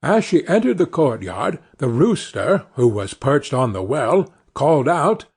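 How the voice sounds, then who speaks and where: A man's voice: a little high, big pitch swings, measured pace, vocal fry, a little mellow, breathy edge. A man reading a scripted fairy tale as narrator, in storytelling style.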